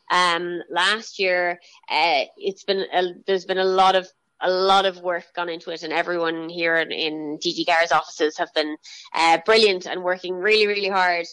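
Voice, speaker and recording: Chatty Irish woman, low dry voice. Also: a bit bright, no room sound. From Ireland. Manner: chatty, many fillers and restarts. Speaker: guest, children's TV presenter. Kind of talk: radio interview.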